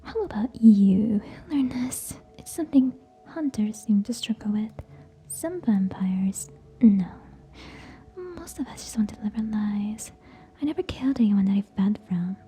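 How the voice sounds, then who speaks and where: A woman playing a haughty, teasing tsundere vampire in a scripted ASMR roleplay, whispering scornfully and deliberately, close to the microphone. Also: mid-range voice, lively intonation, dark tone, natural voice. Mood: irritated, lecturing.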